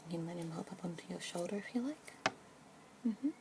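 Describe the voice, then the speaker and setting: A young woman in a whispered ASMR roleplay as a pet adoption consultant, speaking slowly and gently in a soft unvoiced whisper. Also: on the low side, animated, noisy background.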